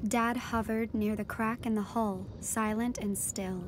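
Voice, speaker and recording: A woman's voice: a little high, steady tone, unhurried, noisy background, close to an everyday voice. An actress playing a reflective young daughter of a marine biologist, narrating her adventure in scripted audio fiction. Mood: tense wonder. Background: music and sound design under the voice.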